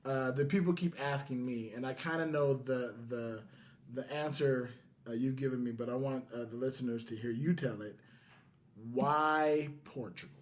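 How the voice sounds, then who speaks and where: A man's voice: medium pitch, normal speed. Casual man hosting a podcast. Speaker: podcast host.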